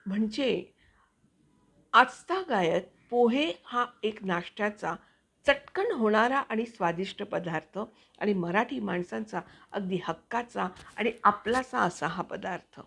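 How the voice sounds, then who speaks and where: Woman chatting to her channel viewers, mid pitch, explains things casually, pauses to find words. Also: expressive, vocal fry, fairly bright tone, husky. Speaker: actor and video channel host.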